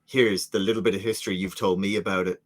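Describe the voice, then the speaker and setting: A man's voice: low-pitched, steady tone, fast. A man co-creating a fiction podcast, chatting casually and rambling with many fillers. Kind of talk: casual chat.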